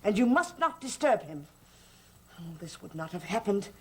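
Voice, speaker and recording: An actress playing a stern, menacing servant who guards a master's occult secrets in a gothic soap opera, severe and accusing. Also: female voice, medium pitch, sing-song, normal speed, natural voice.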